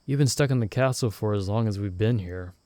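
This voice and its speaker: A young man voicing a loyal friend stranded in another world, who talks in a soft-spoken, gently teasing and reassuring way in an ASMR roleplay recorded close to the mic. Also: lowish voice, brisk, no room sound, natural voice. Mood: gentle, reassuring.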